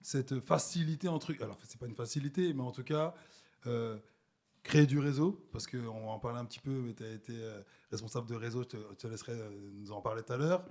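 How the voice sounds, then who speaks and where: A man's voice: mid-range voice, fairly quick, a little mellow. A man hosting a podcast in casual interview chat, informal and a little hesitant, dropping in English slang. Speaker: podcast host.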